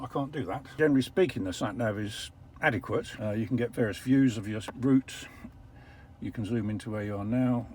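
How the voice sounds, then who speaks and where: An older English man explaining how an electric car works from the driver's seat, measured and a little wry. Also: on the low side, slight background noise. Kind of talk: casual vlog commentary. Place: parked car.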